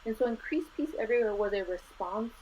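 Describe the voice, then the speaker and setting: Middle-aged woman guest, earnest, unhurried, mixes in Spanish, slightly dull recording. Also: on the high side, lively intonation, darkish tone. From Los Angeles, US. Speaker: guest, school administrator. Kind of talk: conversational interview. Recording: slightly dull lo-fi audio with faint noise.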